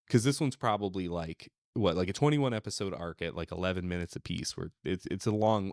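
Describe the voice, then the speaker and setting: A man with a fairly low voice talks casually as a podcast co-host, working out his thoughts as he speaks. The sound is dry and close, with little room in it. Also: smooth, dry recording. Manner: rambling, thinking aloud. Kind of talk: casual discussion of an old radio serial.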